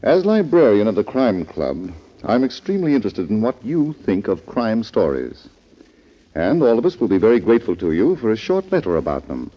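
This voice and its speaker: A man's voice: on the low side, dark tone. A man hosting and narrating a 1940s radio mystery show, addressing listeners in a polite, formal, scripted manner. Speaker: host, in character as club librarian. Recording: muffled 1940s radio recording.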